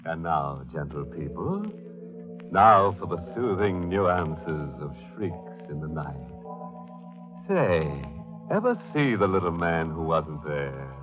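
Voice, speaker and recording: Deep, ghoulish horror host. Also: male voice, expressive, slow pace. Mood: hushed, ominous. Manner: sardonic, savoring morbid puns. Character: ghoulish, gleefully macabre mystery host with mock-genteel wit. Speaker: horror anthology host and narrator. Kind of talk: 1940s radio acting, scripted narration. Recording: muffled 1940s radio recording with music.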